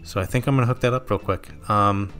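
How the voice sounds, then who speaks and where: A man casually commentating his gameplay, thinking aloud with frequent pauses and self-corrections, his throat a little sore. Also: lowish voice, a bit bright. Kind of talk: casual let's-play commentary.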